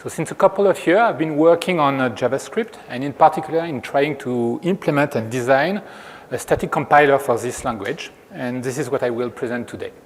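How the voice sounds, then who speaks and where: French-accented man lecturing in a reverberant hall. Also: medium pitch. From France. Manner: measured, explanatory, frequent restarts. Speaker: researcher giving a conference talk. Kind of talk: technical lecture.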